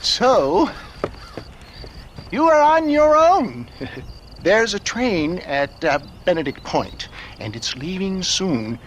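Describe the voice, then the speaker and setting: A man's voice: sits high, big pitch swings, slow, long pauses, highs cut off, a little hiss or hum, full character voice. An actor playing a flamboyant, wily old huckster salesman in an Old West video game, florid and hammy in his delivery. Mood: evasive, jovial. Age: older. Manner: florid, hammy, hesitant with frequent chuckles. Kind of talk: theatrical video game character acting.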